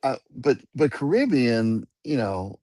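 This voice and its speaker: A man's voice: medium pitch, big pitch swings, slow, a little mellow, dry recording. A man teaching Spanish in a casual chat, patient and spelling words out letter by letter. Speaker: guest Spanish speaker.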